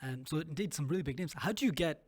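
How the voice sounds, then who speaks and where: A Scottish man hosting a podcast interview, friendly and quick to laugh as he asks loose questions. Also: fairly high pitch, expressive, a little mellow, slightly rough, no echo. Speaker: podcast host. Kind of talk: casual interview chat.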